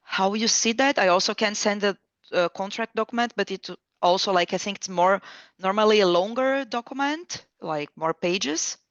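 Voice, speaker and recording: A woman applicant asks questions over a video call, hesitating often with fillers. Her voice is smooth and resonant, and the call audio is dry and slightly dull. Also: medium pitch, moderate pace, dry recording.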